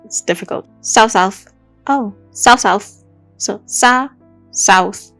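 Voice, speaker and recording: A woman with a Nigerian accent hosting a true crime podcast, telling the story casually and chattily, with animated asides. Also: mid-range voice, slow, no echo, soft background music. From Akwa Ibom, southern Nigeria.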